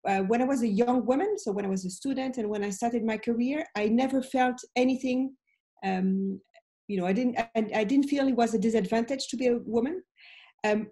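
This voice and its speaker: French lady, late 40s, professor chatting on a video call, soft-edged mellow voice. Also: on the high side. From France. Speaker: business professor, interviewee. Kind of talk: conversational interview answers. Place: indoor room at home. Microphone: video call, computer microphone.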